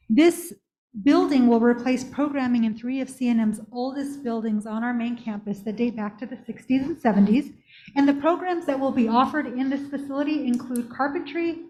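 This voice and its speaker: A soft-voiced woman giving a polite, formal presentation at a council meeting, speaking through a face mask into a handheld microphone. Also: a little high, rough recording. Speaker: community college representative. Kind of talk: prepared presentation to a village council.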